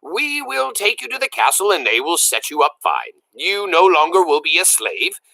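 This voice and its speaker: A woman reading aloud and voicing a blunt male soldier who shouts his lines. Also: on the low side, very animated, moderate pace, creaky, no room sound, exaggerated voice, poor audio. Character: gruff male soldier driving a wagon.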